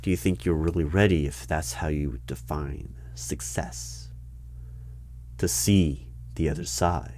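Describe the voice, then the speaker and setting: Man, extremely low voice, deadpan interviewer, clipped questions, keyboard typing in the background. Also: unhurried, slight background noise. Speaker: interviewer in a roleplay. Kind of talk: ASMR interview roleplay. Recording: keyboard typing heard throughout. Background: keyboard typing and clicks.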